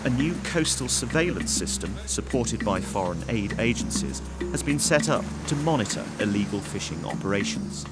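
A man giving a scripted documentary narration in a measured, even voice. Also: on the low side. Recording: voice-over with music bed. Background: music.

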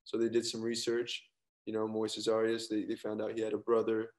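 A young man, an actor, chatting casually over a video call in a relaxed, rambling way. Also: lowish voice, a little fast. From Colombian family. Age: young adult. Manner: laid-back, rambling, trailing off. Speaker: actor guest. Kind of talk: casual interview chat. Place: indoor room, video call.

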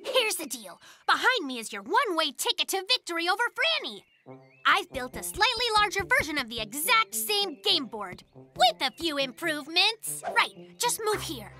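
A woman's voice: very high voice, very animated, moderate pace, sharp tone, full character voice. A woman voicing a cheeky, exuberant young bear boy in a children's cartoon, with slangy delivery. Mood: cheeky, eager. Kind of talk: animated children's cartoon acting. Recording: children's cartoon music behind.

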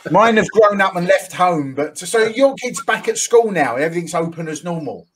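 A man's voice: high voice, lively intonation, normal speed, a little hiss or hum. A man co-hosting a podcast on a video call, teasing in light banter. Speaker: podcast co-host. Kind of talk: casual chat.

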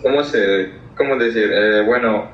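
A man's voice: on the low side, normal speed, reverberant, distant mic, dull audio, a little hiss or hum. A young man from Italy with an Italian accent, speaking English as a second language and hesitating as he searches for words. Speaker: video-chat stranger.

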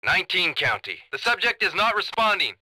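Tense, excitable pursuit cop. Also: male voice, high-pitched, a little fast, full character voice. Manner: clipped, tense. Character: pressed, excitable male pursuit officer. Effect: police radio. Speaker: police officer. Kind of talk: video game radio chatter. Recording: noisy police radio, clicks.

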